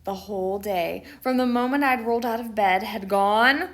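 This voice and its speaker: A woman voicing a mischievous, dramatic schoolboy who tells his own picture book story with theatrical emphasis and pauses. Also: medium pitch, a bit bright, close to an everyday voice. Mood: building pride.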